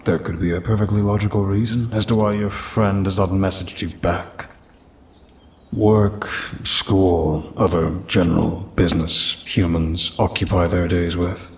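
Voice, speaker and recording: A very low, unhurried voice of an ancient male demon, acted by a man for an ASMR audio drama. He speaks formally and with haughty, dry grumbling, possessive but caring underneath. Faint rain and thunder sit behind him. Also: darkish tone, slight background noise. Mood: curious, dry. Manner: formal, pompous, dry complaining. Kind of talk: scripted audio roleplay, ASMR.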